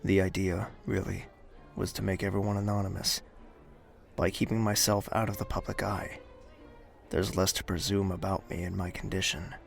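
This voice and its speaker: A man's voice: deep voice, little pitch movement, moderate pace, vocal fry, soft background music. A man playing a reserved young prince in an audio drama, speaking formally and guardedly with dry wit. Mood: reflective. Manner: formal, guarded, gently teasing. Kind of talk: audio drama dialogue and narration.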